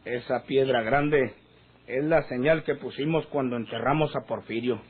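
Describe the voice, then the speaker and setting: A man's voice: mid-range voice, normal speed, close to an everyday voice. Actor as earnest gunman, thin radio sound. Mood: placating. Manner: earnest, persuasive, deferential. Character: insistent, placating rural hired gunman. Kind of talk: Mexican rural radio drama acting. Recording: noisy, narrow-band old radio recording.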